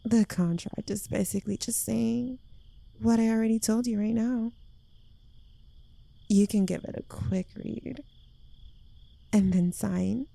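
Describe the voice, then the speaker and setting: Smug, clingy witch girlfriend played by a woman for ASMR roleplay: unhurried, teasing delivery, very dry intimate close-mic sound. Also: a little high, creaky, mellow, dry recording, full character voice. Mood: coaxing, reassuring. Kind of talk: ASMR roleplay, soft intimate scripted acting. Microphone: close microphone.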